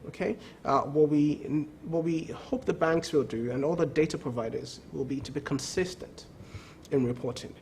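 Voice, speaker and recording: A man's voice: on the high side, moderate pace, vocal fry, a little mellow, slight background noise. A man speaking as a credit bureau executive in a TV business interview, emphatic, repeating words and often asking "okay?". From not from the country of the show.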